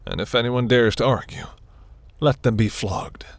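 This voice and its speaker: A man playing a seductive, imperious demon prince in a fantasy audio roleplay, speaking in a silky, commanding, breathy murmur. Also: normal speed, no room sound, close to an everyday voice. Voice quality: low, gravelly, breathy.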